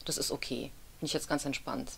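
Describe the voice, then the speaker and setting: A woman's voice: a bass voice, fairly even intonation, fairly quick, vocal fry, a bit bright, a little hiss or hum, rough recording. A woman in her thirties, a voice actress, talking casually and candidly in a studio interview, with a self-deprecating streak. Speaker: audiobook narrator and dubbing actress being interviewed. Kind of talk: casual, reflective interview talk. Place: indoor studio with dark backdrop.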